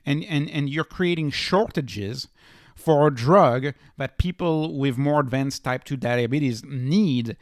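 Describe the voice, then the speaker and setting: A man's voice: a little high, no room sound. Man giving emphatic financial commentary, dry recording. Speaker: host of a stock investing channel.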